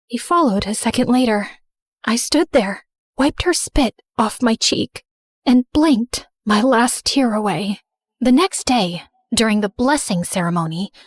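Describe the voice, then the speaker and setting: A woman acting as a guilt-ridden teenage girl telling her own story. The voice is fairly high and very dry, with a confessional, dramatic first-person narration. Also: no echo. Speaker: narrator and main character.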